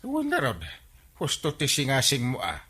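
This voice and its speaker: An actor playing a flustered, bumbling village captain in an Ilocano radio comedy drama, exclaiming and stammering with an Ilocano accent. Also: male voice, mid-range voice, huge pitch swings, normal speed, pushed and bright, husky, full character voice. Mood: defensive, agitated. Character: blustering, excitable village captain, a comic bumbler.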